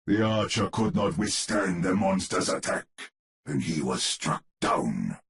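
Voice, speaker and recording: Spooky storybook narrator for a horror game, super deep n slow, grave and dramatic. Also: male voice, vocal fry, a bit bright, full character voice. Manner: theatrical, measured, ominous. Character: grave old-world storyteller narrating a gothic horror tale.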